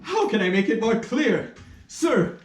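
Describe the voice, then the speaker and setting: In a roomy, echoing space, a man acts out a pompous army major for a puppet play. His high voice swoops dramatically as he pleads and exclaims in flustered indignation. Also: sing-song, measured pace, faint music behind. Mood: indignant pleading. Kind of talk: puppet show stage acting in rehearsal. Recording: roomy echo, somewhat distant mic.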